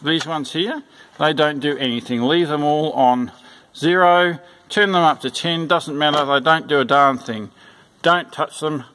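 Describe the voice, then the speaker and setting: A man giving an informal, unscripted instructional walkthrough in a plain-spoken, halting way, repeating his instructions. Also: a little high, a bit bright. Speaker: church sound system instructor. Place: indoors in a church.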